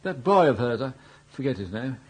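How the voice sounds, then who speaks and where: An actor playing a dithery, indignant elderly English earl with an upper-class accent in a radio comedy drama, vague and fussy, prone to babbling. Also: male voice, medium pitch, sing-song, normal speed, breathy edge, low-quality recording. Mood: aggrieved, flustered.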